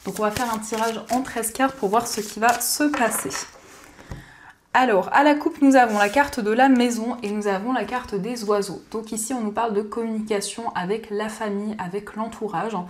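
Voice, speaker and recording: Higher-pitched woman reading tarot cards. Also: steady tone, moderate pace. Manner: gentle, soothing, explanatory. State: slightly hoarse from a cold. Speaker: card reader. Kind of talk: daily tarot card reading.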